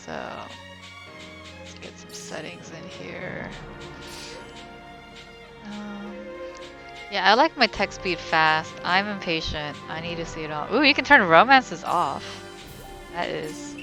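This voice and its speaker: A woman's voice: fairly low pitch, lively intonation, takes it slowly, pauses often, music in the background. A young woman giving casual let's play commentary as a game streamer, often murmuring to herself.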